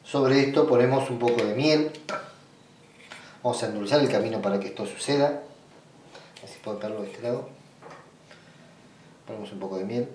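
A man with an Argentine accent speaks at a middling pitch, picked up from some distance in a very roomy space. He explains things informally, step by step. His delivery halts and circles back as he rephrases instructions. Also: moderate pace, pauses often, darkish tone, a little hiss or hum. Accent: Argentine Spanish. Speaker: ritual practitioner giving a tutorial. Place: small indoor room at a table. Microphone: camera mic away from the speaker. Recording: roomy sound, distant microphone.

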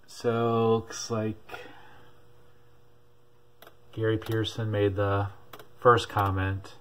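A man talks through his latest finds from behind a handheld camera, drawling along slowly with frequent "uh" pauses. His voice sits on the low side and barely rises or falls. The feel is relaxed and homemade. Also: slight background noise. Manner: unhurried, many "uh" pauses, low-key. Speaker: vlogger showing thrift and auction finds. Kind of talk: casual off-camera show-and-tell. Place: home room at a desk.

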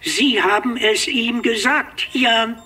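An actor voicing a small robot companion that reports facts slowly and precisely in a radio play. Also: mid-range voice, bright, forceful tone, breathy edge, faint music behind, full character voice. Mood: matter-of-fact.

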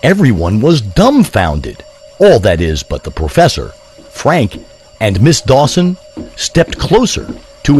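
A man's voice: medium pitch, darkish tone. A man narrating a scripted audio drama as a theatrical, wordy storyteller.

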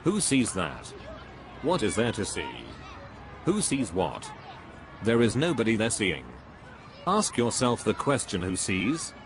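A man's voice: fairly low pitch, sing-song, slow, long pauses. A man playing a pompous, dogmatic spiritual guru in a scripted cartoon dialogue, preachy and dismissive.

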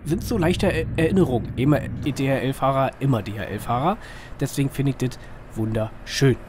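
A man with a slightly hoarse, mid-range voice chats his way through a driving game. He rambles colloquially and puts small questions to himself. Vehicle engine noise runs behind him. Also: medium pitch, moderate pace. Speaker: gaming video host. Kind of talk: casual chatty driving-game commentary. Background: truck simulator engine sound.

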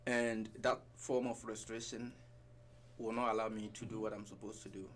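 Brief: Ghanaian man, early thirties, lowish voice, relaxed studio guest, faint background hum. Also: normal speed. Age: 30s. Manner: relaxed, mixes in Twi phrases. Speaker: radio guest. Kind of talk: casual radio interview. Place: small radio studio. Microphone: headset and desk mic.

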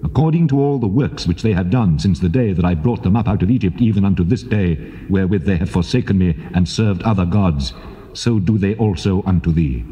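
An actor playing God in a dramatized scripture reading, grave, commanding and measured. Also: male voice, low-pitched, animated, mellow. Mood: solemn. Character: God, solemn, aggrieved male divine voice of authority. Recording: dull vintage cassette recording.

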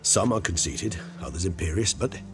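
A man playing a courteous, erudite vampire gentleman in a video game, measured, formal and eloquent. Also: deep voice, lively intonation, music in the background. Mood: reflective, concerned. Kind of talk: dramatic video game acting.

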